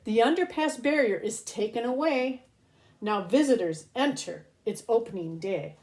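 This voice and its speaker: A woman teacher reading a children's picture book aloud in an expressive, child-directed manner, pausing to explain things. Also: fairly high pitch.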